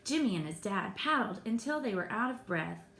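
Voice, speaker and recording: A woman reading a children's picture book aloud expressively, pausing to explain the pictures to her listeners. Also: medium pitch, lively intonation, gravelly, a bit bright, slight background noise. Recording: echoey room.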